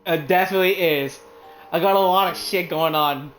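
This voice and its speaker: A man's voice: a little high, normal speed, fairly bright tone, soft background music. A man streaming a game and chatting casually, rambling with lots of fillers and the odd curse word, in a hot room with a fan. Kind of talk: casual commentary while playing a game.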